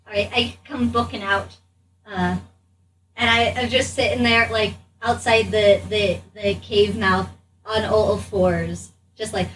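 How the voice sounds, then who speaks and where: Woman doing casual rpg roleplay, halting and repeating herself, bit off mic w faint hum. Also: medium pitch, steady tone, fairly bright tone, recorded from a distance, low-quality recording. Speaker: tabletop game player.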